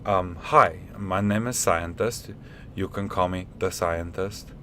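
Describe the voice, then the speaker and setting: A man plays a proud but bumbling scientist in a sci-fi radio comedy. The voice is deep and male, halting and stammering, over faint rumbling noise. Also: bright, forceful tone, heavily acted. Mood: fussy, uneasy. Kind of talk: comedic radio theatre acting.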